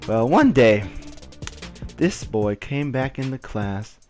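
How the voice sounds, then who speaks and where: Young male storyteller, casual and animated, medium pitch, faint music underneath. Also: slow. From Southern California. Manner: animated, leaps up high when reliving moments, heavy "like" fillers. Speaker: storyteller vlogger.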